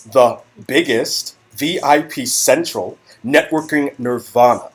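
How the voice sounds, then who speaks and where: A man voicing an excitable, flamboyant young Hollywood socialite best friend in a gushing, dramatic way, read from a story game. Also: mid-range voice, takes it slowly, husky. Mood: gushing, thrilled. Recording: music in background.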